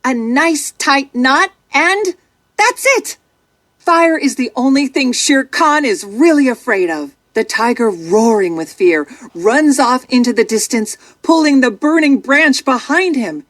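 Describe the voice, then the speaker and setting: High, expressive woman storyteller. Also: unhurried, dry recording. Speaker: storybook narrator. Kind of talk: scripted children's story narration.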